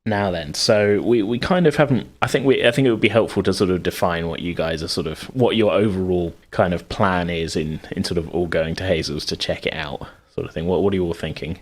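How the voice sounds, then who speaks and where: Low-pitched British man hosting a tabletop game. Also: fairly quick, hardly any pauses. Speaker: podcast host and game master. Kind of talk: casual spoken recap and narration for a tabletop game.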